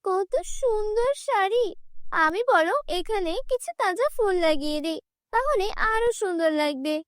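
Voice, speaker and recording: A woman's voice: very high voice, animated, moderate pace, no room sound, studio quality, pitched above the performer's own voice. A woman voicing a proud, poor young village bride-to-be in a cartoon fairy tale, plaintive and emphatic. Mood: delighted.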